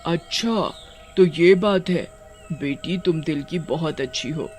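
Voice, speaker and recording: A man voicing a kindly, motherly river witch who speaks gently and reassuringly in an animated fairy tale. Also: a little high, slow pace, soft background music. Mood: gentle, reassuring.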